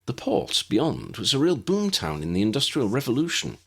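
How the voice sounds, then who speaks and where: A man's voice: mid-range voice, wildly swooping pitch, moderate pace, a bit bright, pitched above the performer's own voice, full character voice. A man voicing an assured wizard professor in a fantasy story, confident and matter-of-fact. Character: assured male wizard professor leading the group.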